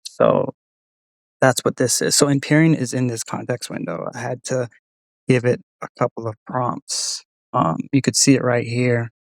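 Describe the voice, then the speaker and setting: A man hosting a software demo in a casual, conversational way. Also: medium pitch, expressive, normal speed, vocal fry, no room sound, poor audio. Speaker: host testing an AI voice assistant.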